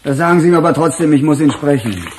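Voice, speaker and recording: An actor playing a weary middle-aged police chief in a radio crime drama, with a dry, musing, sardonic manner. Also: male voice, a little high, expressive, fast, darkish tone, slight background noise, lightly acted. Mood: firm.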